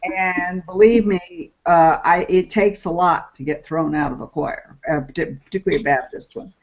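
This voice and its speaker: A woman's voice: lowish voice, normal speed, slight background noise. A woman hosting an interview, chatting as a storyteller who pokes fun at herself. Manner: chatty, self-deprecating storyteller. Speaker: podcast host. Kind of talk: casual interview chat. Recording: dull lo-fi sound.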